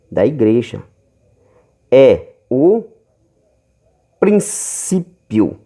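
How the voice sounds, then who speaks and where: Fervent Brazilian preacher, dark mellow voice. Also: male voice, a little high. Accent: Brazilian Portuguese. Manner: reads verses slowly, stretching key words; fervent, calls listeners brothers often. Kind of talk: home Bible teaching video.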